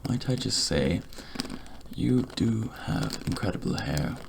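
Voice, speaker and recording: A man plays a courteous barber in an ASMR roleplay. His deep voice is hushed and full of gravelly creak, often sinking into a whisper. He takes his time, speaking slowly and gently. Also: lively intonation, noisy background. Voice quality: breathy, hushed, half-whispered delivery. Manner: gentle, courteous, unhurried reassurance. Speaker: ASMR creator playing a night-shift barber.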